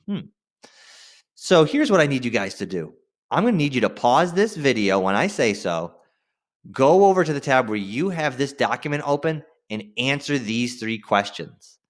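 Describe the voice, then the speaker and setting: A man's voice: mid-range voice, big pitch swings, moderate pace. A man teaching students in an informal, directive instructional style, stressing key phrases and checking in as he guides them through the lesson. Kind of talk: instructional screen-share lesson addressed to students.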